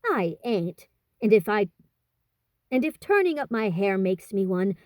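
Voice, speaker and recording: A woman's voice: medium pitch, very animated, unhurried, darkish tone, dry recording, studio quality. A woman voicing a blunt, boyish teenage tomboy in a storybook, brash and plain-spoken. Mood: defiant.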